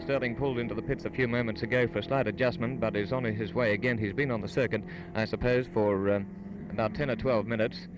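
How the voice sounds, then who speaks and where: A man commentates for 1950s radio from beside a race track. His delivery is formal, with hesitant ad-libbed patches. Clear engine and outdoor noise runs through the old broadcast recording. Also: mid-range voice, fairly even intonation, fast, hardly any pauses. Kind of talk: 1950s live radio motor racing commentary and interview. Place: outdoors trackside at a motor racing circuit. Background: racing cars and engine noise.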